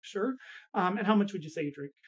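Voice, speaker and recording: A woman's voice: medium pitch, animated, quick pace, breathy edge, muffled recording. A woman conducting a health intake interview, asking structured questions and echoing back each answer.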